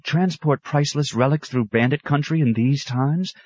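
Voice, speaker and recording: A man's voice: fairly high pitch, moderate pace, darkish tone, husky, dry recording, pitched above the performer's own voice. A man reading aloud, voicing a fretful young male clerk in a religious order. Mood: alarmed. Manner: fretful, agitated.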